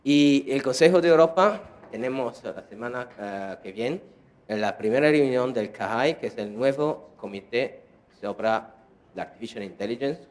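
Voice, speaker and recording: Italian-accented man lecturing in halting speech. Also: mid-range voice. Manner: halting, many fillers and restarts. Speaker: conference speaker. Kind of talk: conference lecture.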